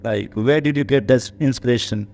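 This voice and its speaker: A man, an English teacher, interviewing his student with measured, plain questions. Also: medium pitch, vocal fry, darkish tone, slight background noise.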